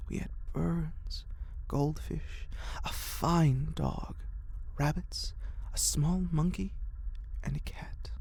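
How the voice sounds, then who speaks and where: A narrator reading a horror story in a breathy, gravelly ASMR whisper, with a deliberate, dramatic literary delivery. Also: medium pitch, darkish tone.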